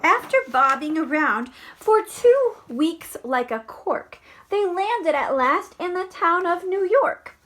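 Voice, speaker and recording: A woman, a first grade teacher, reading a storybook expressively to young children, with dramatic delivery and hushed asides. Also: extremely high pitch, slow, few pauses. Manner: animated, dramatic, drops into hushed whispered asides.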